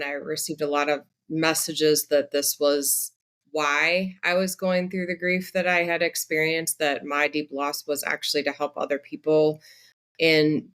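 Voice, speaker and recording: Smooth, even-toned woman guest. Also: low-pitched. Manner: measured, reflective, with frequent ums. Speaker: podcast guest, grief coach. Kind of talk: conversational interview answers.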